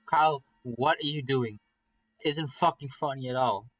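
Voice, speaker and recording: A man's voice: on the high side, lively intonation, measured pace, a bit bright, soft background music, poor audio. A man playing a frightened young man in a horror story, with urgent, rattled outbursts. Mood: scared, annoyed. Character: frightened young man trapped in a nightmare, anxious.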